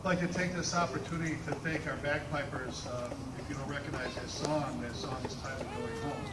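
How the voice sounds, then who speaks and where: A man's voice: medium pitch, fairly even intonation, brisk. A man leading a public ceremony, speaking earnestly and emphatically, often repeating phrases for weight. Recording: roomy distant mic, loud ambient noise.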